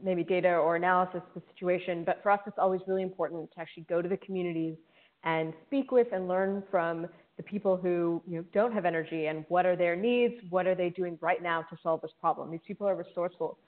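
A woman's voice: fairly low pitch, fairly even intonation, quick pace. A woman engineer presenting in an earnest, conversational way over a video call. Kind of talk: webinar presentation. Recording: tinny call audio.

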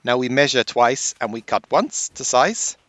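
A man giving a steady, explanatory voice-over for a van life how-to video. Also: medium pitch, measured pace, noisy background. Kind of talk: scripted voice-over narration. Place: based in Sweden.

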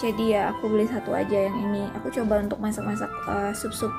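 A woman vlogger chatting casually through a grocery haul, often pausing to recall prices. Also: medium pitch, little pitch movement. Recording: voice-over with clear background music.

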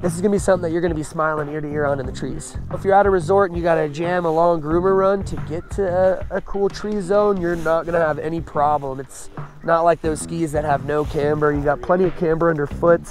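A man with a notably high-pitched voice gives an informal ski review. His delivery is conversational and casual, over faint background music. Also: fairly even intonation, fast.

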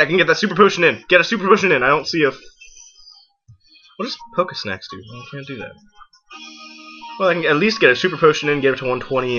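A young man giving casual, excitable let's play commentary, exclaiming and talking to the game and himself. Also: mid-range voice. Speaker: gaming video host. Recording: muffled lo-fi mic, game music behind. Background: video game music.

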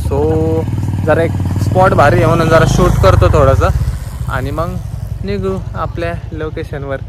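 Young male vlogger, chatty and joking, slightly high voice, outdoors with loud traffic around him. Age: 20s. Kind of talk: casual travel vlog. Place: outdoors on a mountain road. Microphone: handheld camera at arm's length.

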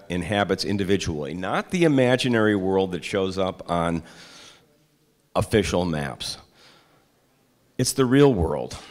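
An older man reading his own essay aloud to an audience in a large hall, deliberate and measured, with occasional hesitant asides. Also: fairly low pitch. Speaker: author giving a reading. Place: large hall with high ceiling, seated before a projection screen.

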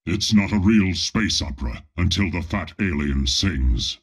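Dark armored space lord for a game: husky, unhurried, imperious, drily pompous menace. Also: male voice, sits low, full character voice. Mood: deadpan, pompous. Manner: slow, imperious, pompous, with deadpan comic asides. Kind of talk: video game character voice lines.

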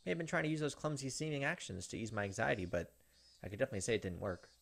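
A man, perhaps in his thirties, reading visual novel narration aloud and commenting, with dramatic, halting delivery. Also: mid-range voice, expressive, gravelly. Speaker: gaming video host. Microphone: desk microphone close up. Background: game music.

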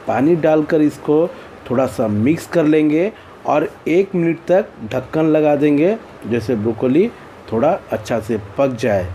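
A man's voice: medium pitch, dark tone, slight background noise. A man with an Indian accent narrates a recipe tutorial as a cooking host, in a plain, instructional way with repeated phrasing.

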